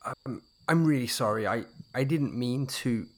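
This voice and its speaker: A man's voice: mid-range voice, measured pace, close to an everyday voice. An actor playing a nervous, bewildered man out with his dog, hesitant and stammering, in a comedy-horror audio drama.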